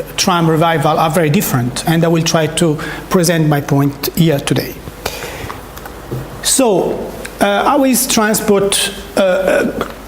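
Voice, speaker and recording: A man's voice: high-pitched, a little hiss or hum. A man from Italy with an Italian accent, giving a research talk in a seminar, with frequent fillers and restarts. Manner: hesitant, many fillers and restarts, repeated words. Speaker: academic speaker. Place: seminar room. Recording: echoey lecture room.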